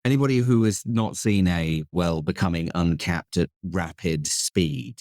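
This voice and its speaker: A man's voice: low voice, lively intonation, unhurried, vocal fry, a little mellow, no room sound, clean studio sound. A man hosting a conversational interview, speaking articulately with somewhat formal phrasing. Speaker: interview host.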